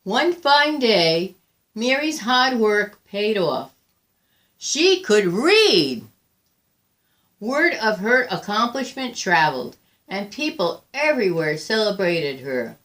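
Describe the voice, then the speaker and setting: Female storybook reader. Slow, deliberate delivery, animated intonation, drawn-out key words. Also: medium pitch. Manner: deliberate, expressive, with drawn-out emphasis and pauses. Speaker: volunteer picture book reader. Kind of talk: read-aloud storytelling of a children's picture book.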